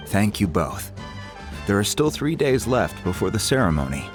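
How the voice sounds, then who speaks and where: A deep-voiced, dignified chief speaks formally over clearly audible music. His delivery is grave and measured, that of a solemn leader in a video game devoted to tradition. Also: big pitch swings. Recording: music playing behind the voice.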